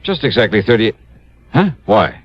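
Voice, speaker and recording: A man's voice: on the low side, sing-song, moderate pace. A man acting a wry, punchy American insurance investigator of about thirty in a 1950s radio detective drama. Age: 30s. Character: wry, brisk thirty-year-old male insurance investigator. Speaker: lead actor and narrator of a detective series. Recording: muffled vintage radio recording, music behind.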